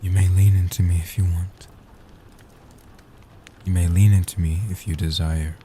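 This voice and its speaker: A low, soft-spoken immortal vampire guardian, played by a man, in a comfort roleplay audio. He is tender and devoted, speaking slowly and deliberately in a very even, hushed tone. The voice is very dark and warm. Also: a little hiss or hum, lightly acted. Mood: intimate, grateful. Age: young adult. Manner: tender, reassuring, slow and deliberate. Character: gentle, devoted centuries-old male vampire guardian.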